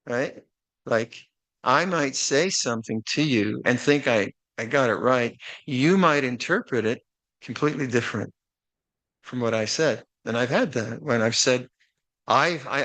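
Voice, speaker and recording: An older man, a Buddhist monk, speaks at a middle pitch while opening a meditation retreat. He talks in a reflective, plainspoken way and pokes gentle fun at himself. His voice sounds close and dry, with no room echo. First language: English. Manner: reflective, plainspoken, gently self-deprecating humor. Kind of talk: dharma talk, opening instructions.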